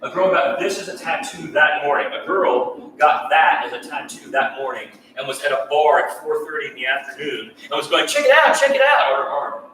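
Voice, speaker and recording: A man's voice: fairly high pitch, sing-song, a little fast, few pauses, bright, forceful tone. A man from India whose first language is Tamil, an artist speaking in a casual interview and stressing his points by repeating them. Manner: emphatic, repeats key points. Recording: echoey room, distant mic, faint hiss.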